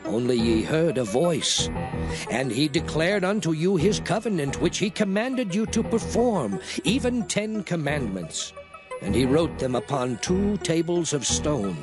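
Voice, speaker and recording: A man's voice: fairly high pitch, very animated, a little slow, music in the background. An actor playing a solemn biblical prophet, declaiming scripture to his people in archaic, oratorical style. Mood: exhorting, earnest. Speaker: dramatized scripture reader. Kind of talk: dramatized King James Bible reading.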